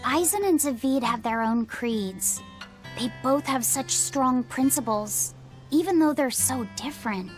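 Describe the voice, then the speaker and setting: A woman's voice: high voice, unhurried, soft background music. A woman playing an earnest young boy. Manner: earnest, gentle.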